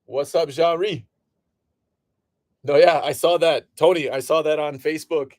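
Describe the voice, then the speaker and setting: A man's voice: fairly high pitch, fairly even intonation, a little slow, a little mellow, no echo, clean studio sound. A man from Illinois chatting casually as host of a live sports card break, greeting viewers by name, his voice a little off. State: voice slightly off. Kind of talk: casual livestream chat.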